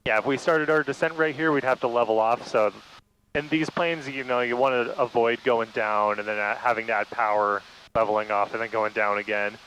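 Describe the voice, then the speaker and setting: A man's voice: mid-range voice, fairly quick, rough recording. A man giving casual flight instruction in an easygoing, explanatory way over a cockpit headset. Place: inside a small propeller plane in flight. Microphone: aviation headset intercom. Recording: steady engine noise behind cockpit audio.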